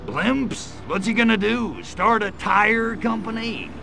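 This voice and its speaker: An actor voicing a cynical, menacing little alien invader in a video game, sneering and full of wisecracks. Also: male voice, sits high, slow, gravelly, a little hiss or hum, heavily acted. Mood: wry. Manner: wisecracking, sneering, deadpan asides. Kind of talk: video game cutscene acting.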